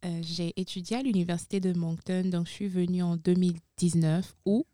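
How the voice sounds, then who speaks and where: A woman's voice: on the low side, steady tone, brisk, mellow, no room sound. A young woman from Benin, a guest on a radio interview, talking in a chatty way with frequent hesitations and self-corrections. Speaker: guest, university international student services supervisor.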